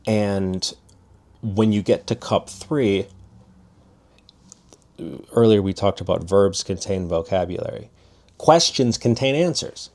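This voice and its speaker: Low-voiced man casually teaching languages. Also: slow pace, pauses often, darkish tone. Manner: loose, restarting, demonstrative. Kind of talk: casual spoken lesson.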